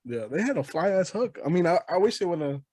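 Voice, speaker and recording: A man's voice: sits high, sing-song, fairly quick, warm tone, dry recording, studio quality. A man in his late twenties hosting a casual podcast chat, easygoing and agreeable, often restarting his sentences. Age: 20s. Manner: laid-back, agreeable, rambling restarts. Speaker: podcast host.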